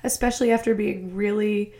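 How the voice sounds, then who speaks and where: A young woman hosting a podcast, talking in a chatty, upbeat way with quick asides. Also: mid-range voice, steady tone, mellow. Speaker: podcast host. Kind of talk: casual podcast interview. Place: home in a cold city.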